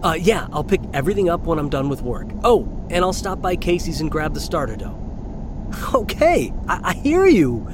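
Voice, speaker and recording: Jittery young courier on a call, swooping intonation, chatty, naturalistic radio play, clear road noise. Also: male voice, a little high, very animated. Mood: casual, slightly defensive. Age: young adult. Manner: halting, nervous, conversational. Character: anxious, chatty young delivery driver on the phone. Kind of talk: naturalistic audio drama phone-call acting. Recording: clear vehicle and outdoor ambience noise.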